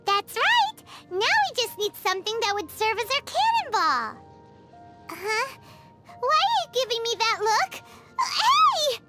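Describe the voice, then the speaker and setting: A tiny fairy girl companion from a video game, extremely high and squeaky. The delivery is bubbly, childish and quick to take offense, leaping up and down in pitch. Loud music plays behind the voice. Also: huge pitch swings, exaggerated voice. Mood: excited, eager, then flustered, indignant. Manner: bubbly, stammering, speaks of self in third person. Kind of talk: video game character dialogue. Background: background music.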